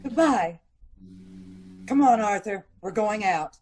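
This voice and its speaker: A woman's voice: mid-range voice, animated, slow pace, darkish tone. A woman playing a poised, dryly witty detective's wife in an old-time radio comedy-mystery. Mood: composed, wry. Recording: faint noise and clicks.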